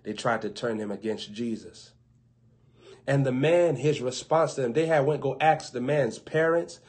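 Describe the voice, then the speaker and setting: A young man preaching on a livestream in front of an open Bible, playfully acting out skeptics and cracking quick asides. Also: mid-range voice, darkish tone. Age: young adult. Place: indoors before a gold bokeh backdrop with an open Bible. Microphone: webcam close up.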